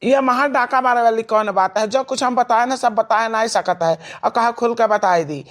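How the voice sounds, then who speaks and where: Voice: medium pitch, normal speed, fairly bright tone, lightly acted. An actor voicing a blunt, money-minded village doctor in a cartoon comedy, with an Awadhi accent and matter-of-fact delivery.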